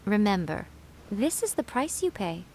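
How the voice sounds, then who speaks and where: A woman's voice: medium pitch, big pitch swings, measured pace, a little mellow, a little hiss or hum. A woman voicing a sly, wise fox-spirit shrine priestess in a video game, composed and lightly teasing as she lectures.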